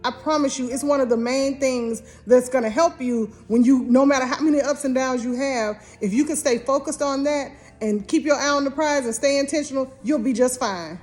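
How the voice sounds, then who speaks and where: A woman's voice: high-pitched, brisk, few pauses, a little mellow, soft background music. An American woman in her fifties co-hosting a casual relationship advice talk, speaking earnestly and directly to the viewer. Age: 50s. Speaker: co-host giving marriage advice.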